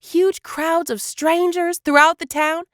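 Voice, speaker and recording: A woman's voice: very high-pitched, fairly even intonation, slow pace, a bit bright, dry recording, studio quality, exaggerated voice. A woman playing an eager, scheming small-town dreamer in a cartoon, chatty and enthusiastic. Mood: excited. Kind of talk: animated comedy dialogue.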